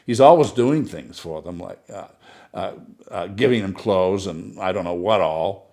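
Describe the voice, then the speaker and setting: A man's voice: low voice, unhurried, mellow. A man reading a short story aloud, voicing a chatty, gushing society woman who talks in a breathless stream and keeps praising herself.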